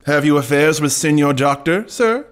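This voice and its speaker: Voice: medium pitch, expressive, moderate pace, clear, resonant tone, natural voice. An actor playing a pompous, pedantic trickster who poses as an apothecary suitor, declaiming floridly in a classical audio farce. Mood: officious. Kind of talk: classical stage farce acting in an audio play.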